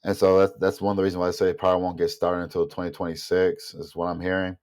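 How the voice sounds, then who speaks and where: A man's voice: deep voice, monotone, fast talker, a little mellow, clean tone. A man giving a casual, rambling opinion monologue as a vlogger, sounding nasal and congested from a mild illness. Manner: rambling, thinking aloud.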